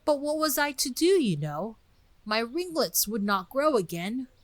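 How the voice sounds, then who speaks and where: Querulous and blunt, this is an old gray wasp from a storybook, acted out by a woman. The pitch swings about in a lively way as he grumbles in plain rustic speech, captured close and dry. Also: unhurried, no echo. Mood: plaintive, reciting. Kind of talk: dramatic storybook reading with recited verse.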